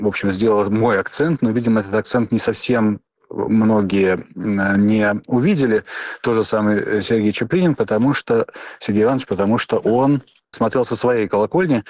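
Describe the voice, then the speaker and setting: A man's voice: on the low side, a little mellow, dry recording. A man in his fifties answering an interview off the cuff, hesitating and restarting often. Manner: hesitant, many fillers and restarts. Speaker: interview guest.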